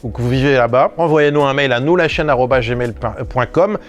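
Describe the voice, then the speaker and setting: A man's voice: medium pitch, soft background music. A man hosting a prank show, chatting casually and with animation about making the series. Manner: animated, talks in quick bursts with restarts. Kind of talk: casual behind-the-scenes chat.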